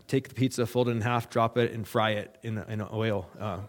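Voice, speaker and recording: A middle-aged man, a missionary, giving a halting, earnest report to a church with many fillers and restarts. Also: on the low side, level tone. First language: English.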